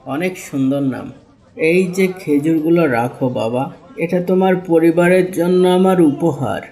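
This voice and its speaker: Man playing a friendly, fast-talking cartoon customer. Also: a little high, mellow, lightly acted. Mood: generous. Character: friendly, generous younger male regular customer. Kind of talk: cartoon dialogue.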